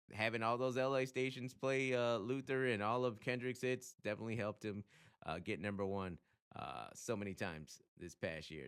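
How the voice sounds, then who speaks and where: The bright, punchy voice of a man you'd hear on a music-industry video channel, a radio insider casually breaking things down in animated slang. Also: mid-range voice. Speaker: radio programmer and music commentator.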